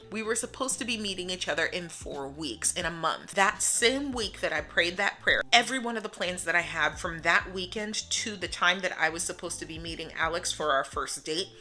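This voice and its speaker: American woman, young adult, storytime vlogger, earnest, laughs often, lowish but bright voice. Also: normal speed. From United States. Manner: earnest, animated, laughs often, voices her own quotes. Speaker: vlogger telling her story. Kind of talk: storytime narration.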